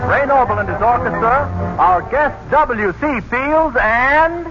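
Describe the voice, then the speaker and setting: A man's voice: very high-pitched, over music. A man announcing an old radio show, declaiming showy introductions and drawing out names. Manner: projected, declamatory, drawn-out names. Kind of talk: 1930s-40s sponsor announcement, showy introductions. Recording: dull, noisy vintage radio recording.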